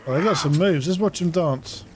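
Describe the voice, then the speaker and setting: A man's voice: a little high, a little fast, darkish tone, faint music behind. A man giving casual commentary as he plays through a video game quest. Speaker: gamer playing through a quest.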